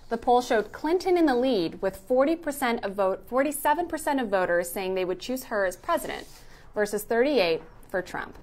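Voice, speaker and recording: Higher-pitched woman narrating news. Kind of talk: scripted news explainer narration.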